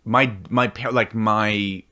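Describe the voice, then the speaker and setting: Guy born in india chatting on a podcast, lowish voice, acts out little voices in his stories. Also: takes it slowly. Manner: animated storyteller, acts out voices. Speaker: guest. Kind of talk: casual chat.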